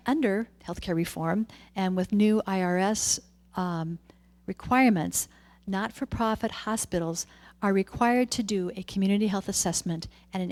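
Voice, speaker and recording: A woman's voice: mid-range voice, darkish tone. A woman, a public health official presenting plans to a city committee in an informal way, walking through her slides.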